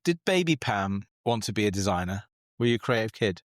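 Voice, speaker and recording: A man's voice: lowish voice, very animated, a little fast, no room sound. Man hosting a casual interview, dry. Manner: friendly, prompting questions. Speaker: podcast host, interviewer. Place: recorded in a workspace studio in London.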